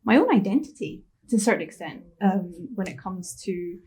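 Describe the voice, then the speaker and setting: A woman's voice: mid-range voice, lively intonation, normal speed, a little mellow, slightly rough. A woman from Scotland speaking on a panel, hesitant and thinking aloud with many restarts. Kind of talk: panel discussion.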